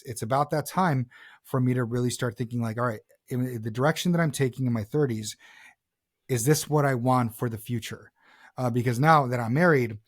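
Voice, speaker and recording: A man in his thirties talking earnestly in a reflective personal conversation, often repeating and rephrasing himself as a podcast co-host. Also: mid-range voice. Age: 30s.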